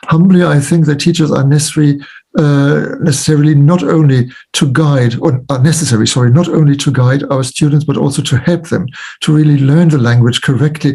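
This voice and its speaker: Husky-voiced male panel moderator. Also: fairly high pitch, fairly even intonation, brisk, warm tone. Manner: affable, jokey, many back-channel murmurs. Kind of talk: online panel discussion. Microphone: video call.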